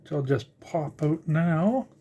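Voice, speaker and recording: Slow-talking older hobbyist, musing aloud. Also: medium pitch, animated, mellow. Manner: halting, musing aloud while working with hands. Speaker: electronics hobbyist presenter. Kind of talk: casual unscripted teardown narration. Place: indoors at a workbench.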